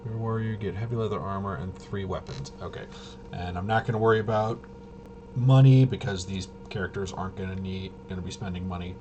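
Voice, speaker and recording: Low-voiced man rambling through a game walkthrough. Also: steady tone, a little fast, warm tone, slight background noise. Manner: rambling, muttering to himself, playful interjections. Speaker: host of a tabletop RPG channel. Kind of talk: casual thinking-aloud game walkthrough.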